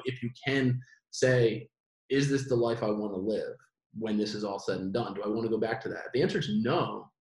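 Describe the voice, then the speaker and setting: Rambling young man in an echoey room. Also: medium pitch. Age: 20s. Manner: rambling, animated, many restarts. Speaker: guest. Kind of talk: casual conversation.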